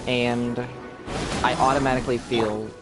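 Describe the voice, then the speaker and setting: A man's voice: medium pitch, level tone, moderate pace, loud music behind the voice. A man livestreaming a game, chatting casually in a rambling, self-deprecating way. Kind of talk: casual chat while gaming on a livestream. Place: voice over game music on a livestream.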